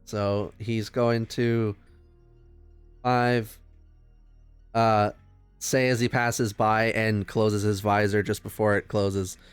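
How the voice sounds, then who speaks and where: A man chatting casually and excitably as a player in a tabletop game. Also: fairly low pitch, slow pace, pauses often, clean tone, no room sound, soft background music.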